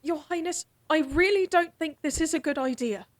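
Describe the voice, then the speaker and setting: An actress playing a defiant young noblewoman who challenges a prince with sharp retorts in a fantasy audio drama. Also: high-pitched, normal speed, natural voice. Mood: reluctant. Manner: sharp, challenging, flustered when caught.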